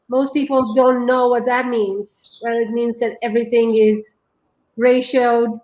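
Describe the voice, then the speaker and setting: A woman in her forties from Romania with a Romanian accent, telling her life story as a guest in an earnest, halting way. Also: on the high side, fairly even intonation, darkish tone, roomy sound, poor audio. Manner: earnest, halting, many restarts. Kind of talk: personal storytelling.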